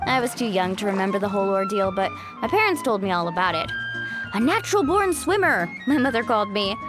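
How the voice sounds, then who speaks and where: A woman's voice: mid-range voice, sing-song, quick pace, music in the background, lightly acted. A woman playing a cheerful, outgoing young guide in a video game, with a chatty, playful manner. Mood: fondly reminiscing. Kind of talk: English video game voice acting.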